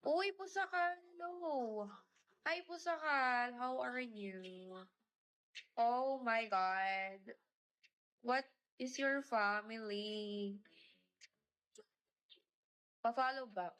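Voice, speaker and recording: Young woman, high voice, bright tone, chatty, mixes Tagalog and English, greets viewers by name. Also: very slow, pauses often, clean tone, low-quality recording. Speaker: livestreamer. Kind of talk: casual live-stream chat.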